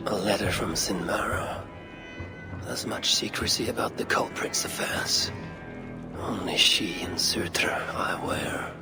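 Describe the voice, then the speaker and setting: Cunning Norse god, creaky voice, unhurried, grave, hushed muttering, video game, music behind. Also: male voice, lowish voice. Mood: hushed, pondering. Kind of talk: video game character acting.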